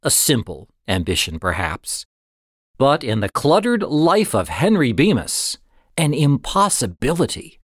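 A man reading a short story aloud as an audiobook narrator, with an expressive, suspenseful storytelling delivery. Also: medium pitch, a little slow, no echo, studio quality. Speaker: audiobook reader.

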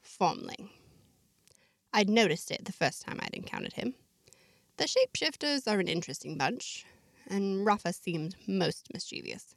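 A woman's voice: mid-range voice, animated, gravelly, dry recording. Woman narrating a story, dry and close. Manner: measured, reflective diary-like delivery. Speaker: storyteller narrating in first person. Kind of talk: scripted fiction narration.

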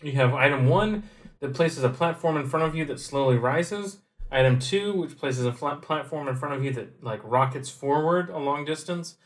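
A man co-hosting a podcast, chatting casually about a game with relaxed, dry asides. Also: on the high side, moderate pace. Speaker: podcast co-host.

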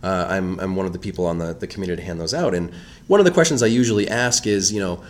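A man's voice: low-pitched, fast. A man hosting an interview podcast, talking casually with many fillers and restarts. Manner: relaxed, filler-heavy, conversational. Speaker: podcast host.

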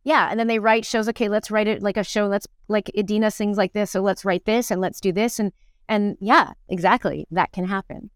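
Woman, clean resonant voice, dry sound, casual interview talk, medium pitch. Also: fairly even intonation, brisk, no echo. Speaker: interview guest, stage actor. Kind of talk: casual reflective interview conversation.

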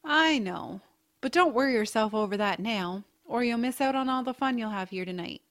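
A woman playing a kindly, adventurous aunt in a family audio drama, speaking in a gentle, reassuring, measured way. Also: on the high side, lively intonation. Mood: gently playful. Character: kindly, adventurous, knowing aunt with a mysterious side.

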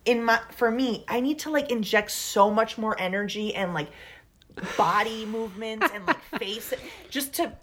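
A woman's voice: fairly high pitch, normal speed, fairly bright tone, a little hiss or hum. A Venezuelan woman comedian with a Venezuelan accent, chatting animatedly on a podcast, often restarting her sentences. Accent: Venezuelan Spanish. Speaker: comedian guest. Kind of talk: casual podcast chat.

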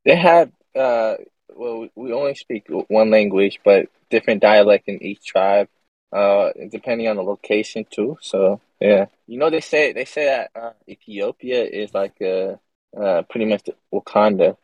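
A man's voice: lowish voice, a little slow, darkish tone, no room sound, rough recording. A young man co-hosting a casual podcast, laid-back and teasing, with some hesitant fillers. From United States. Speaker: podcast co-host.

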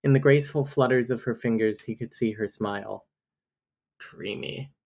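Sex: woman. Role: podcast co-host. Style: casual book-club recap. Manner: animated and quick to laugh. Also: extremely low pitch, creaky.

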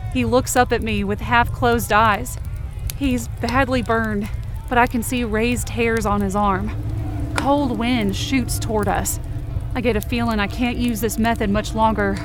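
A woman's voice: on the high side, close to an everyday voice. A woman acting as a stubborn, desperate young rescuer, narrating a supernatural audio drama in an intense, emotive way. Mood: focused, strained. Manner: intense, emotive, swearing under strain. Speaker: narrator and lead actor of a fiction podcast. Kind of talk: dramatic first-person present-tense audio drama narration.

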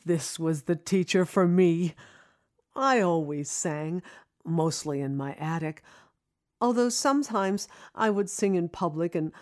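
A woman narrating a scripted first-person audio drama in an expressive, reflective storytelling manner. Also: on the low side. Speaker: narrator, singing student.

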